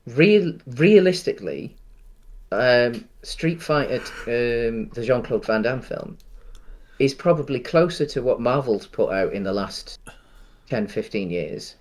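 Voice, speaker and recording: A man co-hosting a casual film discussion, talking in a hesitant, rambling way with halting phrasing. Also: medium pitch, lively intonation, a little mellow. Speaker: podcast co-host.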